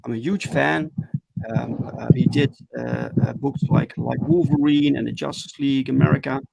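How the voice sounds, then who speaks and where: A man from the Netherlands with a Dutch accent, a comic book creator chatting as a guest on a video call, halting with many ums and restarts. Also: mid-range voice, fairly even intonation, vocal fry, a little mellow, breathy edge, rough recording. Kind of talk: casual interview chat.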